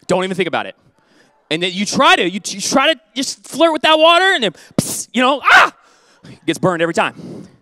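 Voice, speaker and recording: A pastor in his thirties preaches into a handheld mic with a high, bright-sitting voice. He jokes animatedly, then sinks into soft, earnest passages. The sound is dry and close, with little room echo. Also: brisk. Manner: animated, jokey, shifts to soft earnest tones. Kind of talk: sermon. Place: church stage, indoors.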